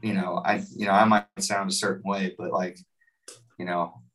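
A man's voice: low voice, flat delivery, moderate pace, fairly bright tone, recorded from a distance, rough recording. A young man from West Virginia with a West Virginian accent, chatting casually as a musician guest, rambling with lots of likes and you-knows. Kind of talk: casual interview chat.

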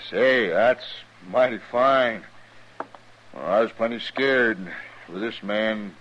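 Shifty, lowish-voiced frontier miner. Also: male voice, takes it slowly. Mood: feigned relief. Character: cowardly, shifty frontier miner turned villain. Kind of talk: 1950s western radio drama acting. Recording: muffled, lightly hissy old radio recording.

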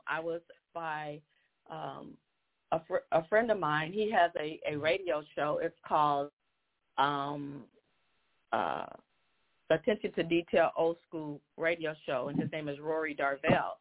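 A woman, a guest singer, talking in a casual phone interview, halting with many ums and laughing often. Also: deep voice. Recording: tinny phone line.

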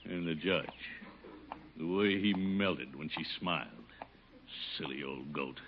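An American actor playing a blustering, lovesick, middle-aged uncle in a 1950s radio sitcom, pompous and easily flustered. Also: male voice, very deep voice, slow pace, heavily acted. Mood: sulky muttering. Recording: noisy, muffled old radio recording.

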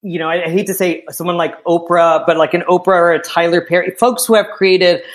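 American guy, voice a bit on the high side, thoughtful interview chat, keeps tagging things with right?. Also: steady tone, brisk. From small town in Pennsylvania, United States. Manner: thoughtful, often tags phrases with "right?". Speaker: interview guest, theatre artistic director. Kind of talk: conversational interview.

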